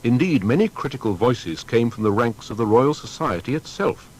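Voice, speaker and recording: A man's voice: mid-range voice, animated, moderate pace. A man narrating a scripted biographical documentary in a dramatic, emphatic storytelling manner.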